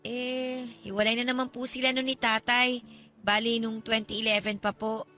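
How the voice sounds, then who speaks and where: A woman's voice: on the high side, level tone, normal speed, sharp tone. An actress playing a polite, guarded young female college student in a radio drama, speaking with hesitant reserve. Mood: explaining. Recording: music under the voice.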